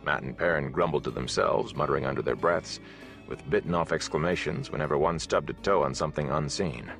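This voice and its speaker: Low voiced guy reading a fantasy audiobook, measured dramatic storyteller delivery, bit of music under it. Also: creaky, a bit bright. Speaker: audiobook narrator. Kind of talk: scripted fantasy novel narration.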